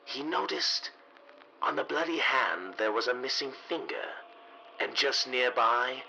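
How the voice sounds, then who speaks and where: A man narrating a 1930s radio mystery as scripted storytelling, dramatic and measured. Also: mid-range voice, creaky, bright, forceful tone, slight background noise, poor audio.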